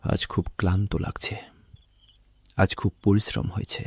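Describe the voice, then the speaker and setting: Man acting a humble, low-voiced poor farmer. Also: level tone, normal speed, vocal fry, slightly rough, full character voice. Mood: weary, pleading. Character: poor, honest, hardworking male farmer, humble family man. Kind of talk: cartoon fairy tale acting. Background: music.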